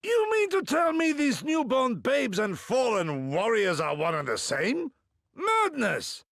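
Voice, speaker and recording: A proud, inquisitive samurai warrior in a video game. The voice is gravelly and rough, moving slowly through formal, archaic phrases. It swoops dramatically in pitch, in a very dry studio sound. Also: male voice, wildly swooping pitch, fairly bright tone, dry recording, full character voice. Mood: incredulous, indignant.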